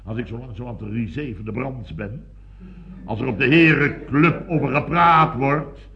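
An actor playing a blustering, self-important company boss in a radio comedy serial, sputtering, exclaiming and repeating himself pompously. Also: male voice, mid-range voice, normal speed, vocal fry, a crowd in the background, lightly acted. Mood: indignant. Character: blustering, excitable, self-important male boss of building firm. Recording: dull band-limited old radio recording.

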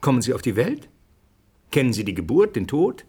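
An actor playing a thoughtful scientist who explains things deliberately in a radio drama. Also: male voice, mid-range voice, very animated, dark tone, breathy edge. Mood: pondering, explanatory. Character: thoughtful male scientist musing on alien minds. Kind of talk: German radio drama acting.

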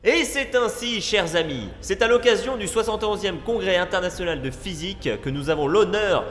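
Formal science-congress master of ceremonies male actor, high voice, grandiose audio-drama speech, big reverberant hall. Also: normal speed, a bit bright. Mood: ceremonious. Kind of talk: comedic audio drama acting. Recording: long hall reverb with music.

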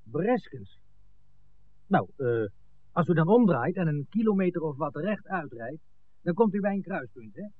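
A man's voice: on the high side, very animated, measured pace, pauses often. An actor playing a stubborn young village man at a roadblock, plain-spoken and hesitant, in a Dutch radio drama on an old recording. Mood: stalling. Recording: narrow-band old 1960s radio recording.

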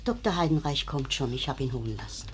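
An actress playing a motherly woman in a radio play, soft and comforting. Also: extremely low pitch, expressive, quick pace, slight background noise. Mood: worried. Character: motherly woman, tender and alarmed.

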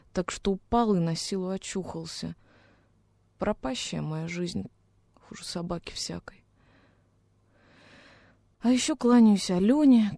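A woman's voice: medium pitch, dark tone, studio quality, close to an everyday voice. Woman as a pleading orphan peasant boy, roomy sound. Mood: miserable pleading. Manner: plaintive, naive, rustic folk speech. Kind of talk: dramatic radio reading of a classic story.

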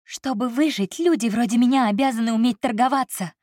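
A woman's voice: sits high, lively intonation, moderate pace, a little mellow, dry recording, clean studio sound, pitched above the performer's own voice. A woman voicing a proud, stubborn young woman who answers her employer with defiance and cutting emotion. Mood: indignant.

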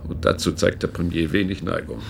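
An actor playing a measured, dry, commanding senior official in a radio drama, with a gravelly voice. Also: male voice, low voice, steady tone, very noisy.

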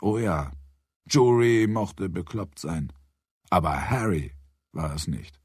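Low, husky male audiobook narrator. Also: expressive, slow pace, darkish tone, no room sound, clean studio sound. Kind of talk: scripted literary narration of a crime novel.

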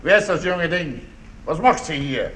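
An actor playing a stern, suspicious police commissioner in a German radio play, commanding and interrogating. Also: male voice, fairly high pitch, lively intonation, moderate pace, a bit bright. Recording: roomy, slightly distant, hissy radio recording.

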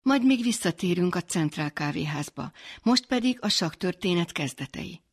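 Female radio presenter, lowish and husky, scripted programme links. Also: lively intonation.

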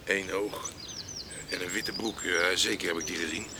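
An actor playing a homeless tramp on the road in a radio drama, garrulous and wheedling. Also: male voice, low-pitched, steady tone, normal speed, lightly acted. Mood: gleeful gossip. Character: chatty homeless tramp begging tobacco on the road. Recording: noisy 1980s radio drama with outdoor ambience.

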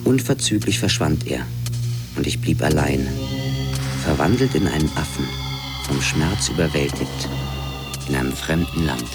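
Radio play visionary: low voice, solemn, incantatory storytelling in long phrases, prominent music underneath. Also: male voice, a little slow, long pauses. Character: dreamy male storyteller spinning dark, fantastical visions. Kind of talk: literary radio play monologue.

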